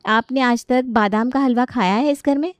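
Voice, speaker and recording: A suspicious young bride acted by a woman in an audio story, outspoken and insistent. Her voice is high, recorded dry and close. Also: normal speed, no room sound, natural voice. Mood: insistent, resentful.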